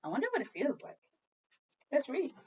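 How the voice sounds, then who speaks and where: A woman with a high voice reads to young children as their teacher, her intonation swinging widely. She pauses to ask questions and repeat things for the class. The audio is slightly dull and lo-fi, like a home video. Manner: addresses young children directly, with prompting questions and repetition; expressive storybook reading. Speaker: second grade teacher. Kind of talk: children's read-aloud lesson.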